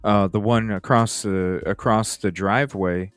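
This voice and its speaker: A man's voice: lowish voice, steady tone, measured pace, clear, resonant tone, no room sound, soft background music. A man hosting a true-crime stream, talking casually and conversationally in a rambling way, repeating himself for emphasis. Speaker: host of a true-crime livestream. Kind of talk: casual case discussion.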